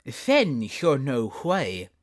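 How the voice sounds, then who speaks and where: A proud, impatient tiger lily flower, heard as a storyteller puts it on in a high, loud, slow voice that swoops widely. It scolds and lectures, every word stretched out. A storybook reading. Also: wildly swooping pitch, full character voice. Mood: haughty.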